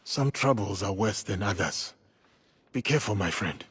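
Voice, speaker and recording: Husky, solemn samurai. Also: male voice, lowish voice, expressive, normal speed, a bit bright, heavily acted. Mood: resolute. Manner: earnest, formal, solemn. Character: solemn, loyal samurai warrior in service to a lord. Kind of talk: dramatic video game acting.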